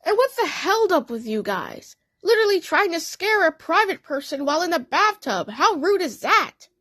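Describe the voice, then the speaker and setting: Woman as a huffy, high-voiced cartoon rat-cat. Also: big pitch swings, normal speed, fairly bright tone, no echo, studio quality, exaggerated voice. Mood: indignant.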